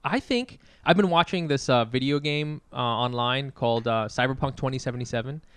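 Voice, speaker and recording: A man, a podcast co-host who chats in an animated, rambling, profane way. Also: medium pitch, brisk, clean tone, no echo. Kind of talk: casual chat.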